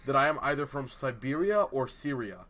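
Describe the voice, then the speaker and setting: A man's voice: medium pitch, expressive, a little fast, sharp tone. A man from Serbia talking casually as a panelist in a group podcast discussion.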